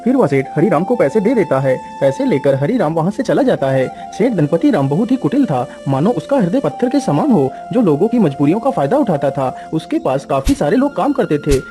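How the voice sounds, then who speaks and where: A man's voice: a little high, very animated, a little fast, hardly any pauses, music in the background. A man narrating a scripted cartoon story in a storytelling style.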